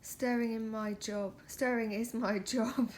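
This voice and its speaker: A woman voicing an indignant, possessive squirrel in a storybook, protesting in a squeaky voice. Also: steady tone, unhurried, a little mellow, slight background noise, natural voice.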